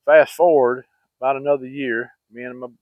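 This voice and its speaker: A middle-aged man rambles through a hunting story in a folksy way, full of fillers. His voice sits at an ordinary pitch. The sound is narrow and phone-like, with no room echo at all. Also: fairly even intonation, moderate pace. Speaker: channel host telling hunting stories. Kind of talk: casual storytelling.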